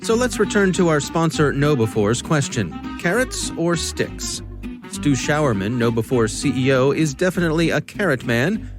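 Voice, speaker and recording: A man's voice: on the high side, big pitch swings, moderate pace. Man hosting, music underneath. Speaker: podcast co-host. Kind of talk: conversational podcast hosting.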